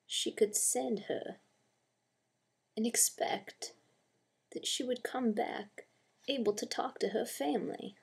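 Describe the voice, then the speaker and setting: A woman playing a bitter, stubborn old grandfather in a dramatic monologue, gruff, plainspoken and resigned. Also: mid-range voice, exaggerated voice.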